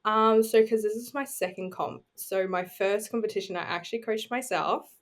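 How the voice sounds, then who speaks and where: A woman's voice: medium pitch, moderate pace. A woman, chatting informally as a podcast guest and telling her own story. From New Zealand, raised on Australia's Gold Coast. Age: 20s. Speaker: podcast guest, bodybuilding competitor. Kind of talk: casual chat, personal storytelling.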